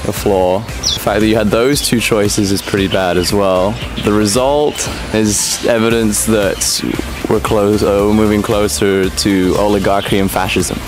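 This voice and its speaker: Man, young, student, blunt, hedging, low voice. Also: steady tone, measured pace, hardly any pauses, over music. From United Kingdom. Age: young adult. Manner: blunt, hedging, laughs off his doubts. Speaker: international student. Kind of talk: street interview answers. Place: outdoors on a campus.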